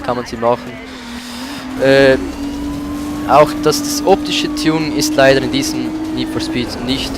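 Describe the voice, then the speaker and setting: A man's voice: medium pitch, background noise. A man giving casual commentary as he plays a video game. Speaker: let's play gamer. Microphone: voice-over mic over game audio. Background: racing game car sounds and music.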